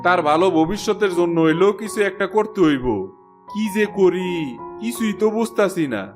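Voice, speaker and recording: A man's voice: high-pitched, moderate pace, soft background music, natural voice. A man playing a weary, worried village farmer father in a cartoon, lamenting in an eastern Bengali accent. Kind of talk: cartoon folk-tale voice acting.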